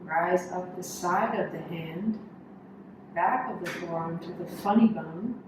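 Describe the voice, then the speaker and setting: A woman yoga instructor leading a guided body scan meditation, calmly giving instructions in short, measured phrases with pauses. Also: on the low side. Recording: echoey room, distant mic, noisy background.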